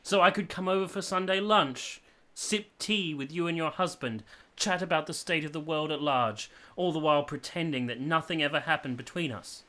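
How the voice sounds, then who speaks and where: A man's voice: a little high, moderate pace, bright, forceful tone. An actor playing an ardent, stubborn young man in love in a period radio drama, earnest and pleading with formal phrasing. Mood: bitterly sarcastic. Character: ardent, stubborn young male lover from a village.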